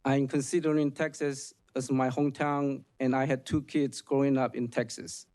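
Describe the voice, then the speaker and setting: A man whose first language is Chinese gives earnest, formal, slightly halting prepared testimony at a public hearing. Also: mid-range voice, flat delivery, a little mellow. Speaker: public witness.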